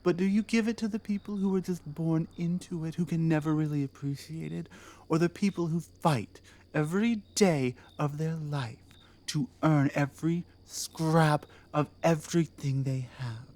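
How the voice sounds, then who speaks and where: A woman playing a proud, battle-hardened orc queen in a scripted ASMR roleplay, speaking deliberately with commanding, pointed rhetorical phrasing. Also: sits low, mellow, lightly acted. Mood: earnest, persuasive.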